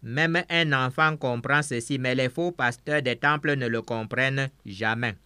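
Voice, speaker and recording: Male preacher, emphatic and repetitive, bright clear tone, dry recording. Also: medium pitch, unhurried, no echo. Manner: emphatic, repeats each phrase several times. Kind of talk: sermon with interpreter.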